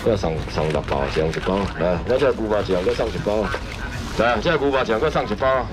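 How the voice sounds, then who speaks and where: A man running a live seafood auction at an outdoor night market stall, loudly shouting prices and sales patter. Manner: loud rapid sales pitch, shouting prices. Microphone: wide camera in front of stall. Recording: noisy outdoor market, traffic behind.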